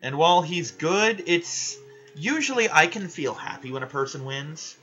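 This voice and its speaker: A young man ranting loudly and swearing in casual chat while he plays a video game. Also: a little high, lively intonation, normal speed, muffled recording, soft background music. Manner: loud, ranting, frequent swearing. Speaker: gaming vlogger.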